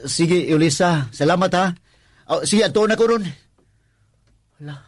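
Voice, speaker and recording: Actor as a nervous, stammering Cebuano husky-voiced man. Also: fairly high pitch, normal speed, no room sound, natural voice. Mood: hesitant, nervous. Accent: Cebuano Filipino. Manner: stammering, hesitant. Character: anxious working-class condo worker, husband worried about wife's debts. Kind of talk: Filipino radio drama acting.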